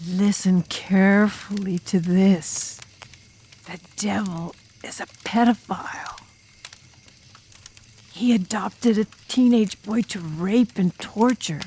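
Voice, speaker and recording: Woman playing a slow, husky, ominous campfire storyteller. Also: medium pitch, darkish tone. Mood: grave, menacing. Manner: ominous, drawn-out, dramatic, sometimes dropping to a whisper. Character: enigmatic drum major student telling a campfire demon horror tale. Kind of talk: audiobook ghost story narration in character.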